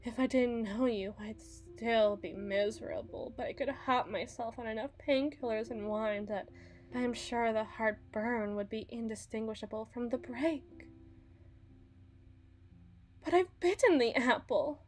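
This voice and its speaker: A woman's voice: fairly high pitch, measured pace, darkish tone, faint music behind, close to an everyday voice. A woman playing a desperate, bitter young bride in a dramatic ASMR roleplay, with theatrical, sighing, emotional delivery. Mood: anguished, resigned.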